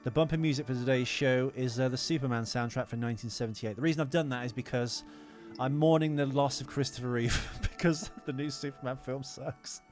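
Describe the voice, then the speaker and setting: Guy doing a sweary opinionated movie podcast rant, normal pitch, bit of music under him. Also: brisk, darkish tone. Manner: ranting, sweary, emphatic. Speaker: podcast co-host. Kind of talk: casual opinionated film chat.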